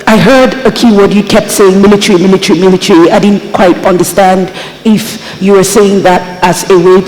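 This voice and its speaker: A woman from Nigeria serving as a panel judge, giving measured, encouraging feedback with occasional uhs. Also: mid-range voice, a little mellow. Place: large conference hall. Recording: echoey conference hall.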